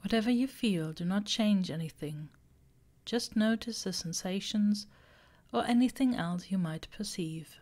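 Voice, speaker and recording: A woman reading a guided meditation, giving slow, deliberate instructions in short phrases. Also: mid-range voice, darkish tone. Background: relaxing background music.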